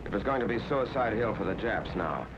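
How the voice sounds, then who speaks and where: A man's voice: on the low side, lively intonation, a little fast, heavy background noise, lightly acted. A man playing a plain-spoken American infantryman, narrating a 1940s war documentary in colloquial language. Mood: grim pride. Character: plain-spoken American infantryman recounting his first battle. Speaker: film narrator. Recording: dull 1940s film soundtrack, music behind. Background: loud music, artillery fire.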